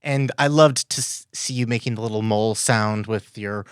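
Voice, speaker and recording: A man's voice: on the low side, moderate pace, clean tone, no room sound, studio quality. A man hosting a casual interview, chatting in an enthusiastic, conversational way. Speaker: podcast host, festival director.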